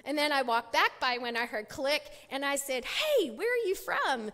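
A woman's voice: sits high, lively intonation, moderate pace, pushed and bright, long reverb tail. A middle-aged American woman giving a talk at a church women's conference, telling stories in a chatty way with many fillers and restarts, speaking at a podium on a large stage. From United States. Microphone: podium mic.

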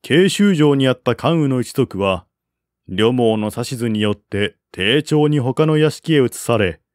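Slow-paced male audiobook narrator. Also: medium pitch, lively intonation, a little mellow, no room sound, clean studio sound. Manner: formal, measured reading. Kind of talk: scripted literary narration of a historical novel.

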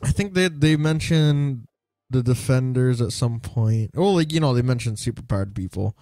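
A man's voice: medium pitch, moderate pace, dry recording, faint music behind. A man co-hosting a podcast, chatting casually about a TV show with many fillers. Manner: lively, quotes characters in put-on voices. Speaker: podcast co-host. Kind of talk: casual review chat.

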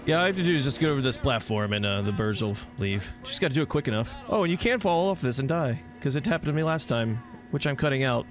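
A man's voice: medium pitch, animated, quick pace, few pauses, soft background music. A man giving casual, rambling walkthrough commentary on a video game, talking to himself and to viewers. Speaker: gameplay host.

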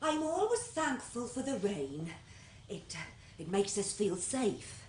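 An actress playing an anxious country wife with a rural English accent in a 1970s radio play. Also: female voice, medium pitch, sing-song, takes it slowly, a little mellow. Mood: uneasy. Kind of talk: 1970s British radio drama acting. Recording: noisy old radio play recording.

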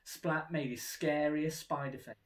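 A man's voice: on the high side, fairly even intonation, unhurried. A man in his thirties reading a children's storybook aloud as a teacher would, in a room indoors. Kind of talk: animated children's storybook narration. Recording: roomy indoor echo.

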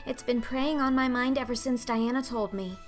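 A woman voicing an excitable, dreamy young girl who talks in a breathless, gushing, dramatic way for an audiobook. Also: fairly high pitch, normal speed, soft background music, pitched above the performer's own voice, natural voice. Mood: anxious. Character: excitable, dreamy, talkative eleven-year-old orphan girl. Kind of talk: audiobook character acting.

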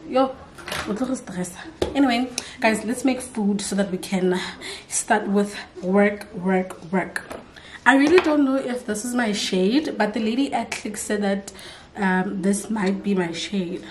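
A woman's voice: mid-range voice, measured pace, noisy background. A young woman, chatting to the camera at home and telling a story. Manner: chatty storytelling, switching into Setswana phrases. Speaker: vlogger. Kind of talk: casual chat to camera. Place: home kitchen and living room indoors. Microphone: camera on tripod nearby.

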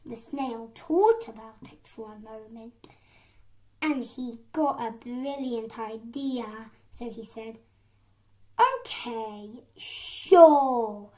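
A woman's voice: a little high, slow, mellow. A young girl narrating a children's story as its storyteller.

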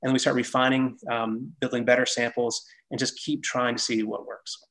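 An American man with a noticeably creaky voice at an ordinary pitch. He talks casually on a video call, modest and loosely conversational, explaining products. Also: flat delivery, moderate pace, fairly bright tone, rough recording. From Massachusetts, United States. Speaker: guest, head of product development at a sports gear maker. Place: small indoor workshop office. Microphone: computer mic on a video call.

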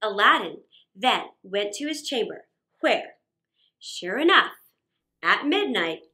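A middle-aged woman reading a story aloud for children, expressive, with theatrical pauses and emphasis. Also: mid-range voice. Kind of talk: dramatic read-aloud story narration for children. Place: small indoor set.

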